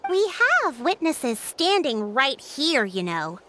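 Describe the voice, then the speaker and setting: Bright, high-voiced poised young leader. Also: female voice, very animated, slight background noise, exaggerated voice. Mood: stern, exasperated. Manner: measured, explanatory, formal. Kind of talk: video game character acting.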